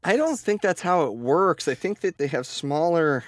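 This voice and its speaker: A man's voice: fairly high pitch, lively intonation, normal speed, dry recording, clean studio sound. A man co-hosting a podcast, rambling and laughing in casual banter, stuffed up from allergies. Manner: rambling, laughs at his own setup. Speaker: podcast co-host.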